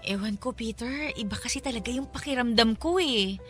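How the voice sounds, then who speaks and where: A woman's voice: a little high, fairly quick, fairly bright tone, natural voice. A woman playing an uneasy young girlfriend in a radio drama, hesitant and polite, with a Filipino accent. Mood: worried. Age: young adult. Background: background music.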